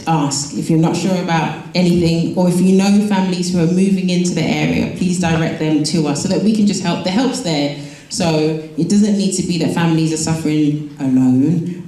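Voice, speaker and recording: A woman's voice: deep voice, warm tone. A woman who manages a council education team, giving a reassuring community talk full of ums. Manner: reassuring, repeats herself, many ums. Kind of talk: community presentation. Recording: echoey hall.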